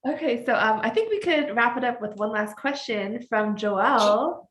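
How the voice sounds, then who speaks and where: A woman's voice: a little high, expressive, normal speed, fairly bright tone, echoey room. A woman hosting a casual video-call conversation, enthusiastic and laughing easily. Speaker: host.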